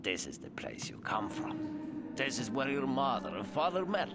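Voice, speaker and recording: An actor playing a solemn, protective older desert guide in a video game, speaking like a philosophical storyteller with a Russian accent. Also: male voice, medium pitch, huge pitch swings, moderate pace. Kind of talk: video game character dialogue. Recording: loud music under the voice.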